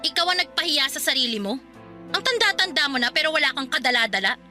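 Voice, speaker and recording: An actress with a Filipino accent playing a stern, sarcastic, domineering older sister in a radio drama. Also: female voice, high-pitched, animated, quick pace, pushed and bright, faint music behind, full character voice. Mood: angry scolding. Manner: scolding, sarcastic.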